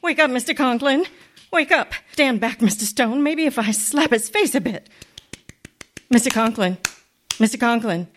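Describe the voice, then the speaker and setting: A woman playing a wry, quick-witted high-school teacher with sardonic, theatrical radio comedy delivery. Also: lively intonation, a bit bright, slight background noise. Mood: urgent.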